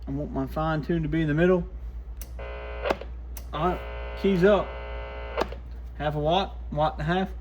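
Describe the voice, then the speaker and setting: Slightly high-voiced man tinkering, noisy recording. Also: measured pace, long pauses, dull audio. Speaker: radio shop host testing gear. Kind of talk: casual hands-on demonstration, thinking aloud. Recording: clear background noise with clicks.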